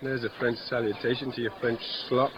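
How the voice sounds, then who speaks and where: An actor playing a witty, mocking young gentleman in a 1950s radio Shakespeare play, with theatrical, bawdy banter. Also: mid-range voice, flat delivery, fairly quick, natural voice. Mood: playful banter. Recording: dull, noisy old radio recording.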